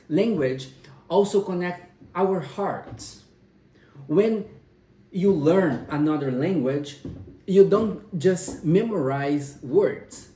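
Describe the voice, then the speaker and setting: A young man whose first language is Portuguese gives a persuasive class speech at home, speaking haltingly with pauses and restarts. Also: a little high, dark tone. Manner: hesitant, with frequent pauses and restarts. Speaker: college student giving a speech. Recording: roomy sound, mic at a distance.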